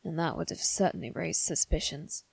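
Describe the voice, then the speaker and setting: A woman voicing a guarded, haughty young noble boy in a scripted ASMR roleplay, with measured, formal phrasing. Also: low voice, gravelly, darkish tone, husky, dry recording, exaggerated voice, rough recording. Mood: thoughtful, subdued. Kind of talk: ASMR roleplay, one-sided scripted dialogue.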